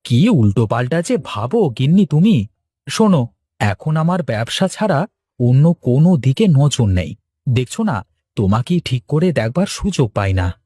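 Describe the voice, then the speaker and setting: A man's voice: mid-range voice, sing-song, moderate pace, dark tone, slightly rough, dry recording, clean studio sound. A man playing an eager, chatty village vegetable seller in a Bengali cartoon, talking in a colloquial, exclamatory way. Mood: brisk, teasing. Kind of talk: cartoon story dialogue.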